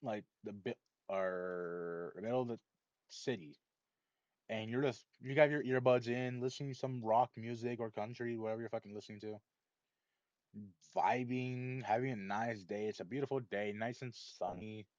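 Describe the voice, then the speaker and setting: Low, mellow man in casual reaction commentary. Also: fairly even intonation, moderate pace, no echo. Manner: informal, musing, swears casually. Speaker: reaction video host. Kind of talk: reading on-screen captions aloud with casual, rambling commentary. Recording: dull, lo-fi mic with faint noise.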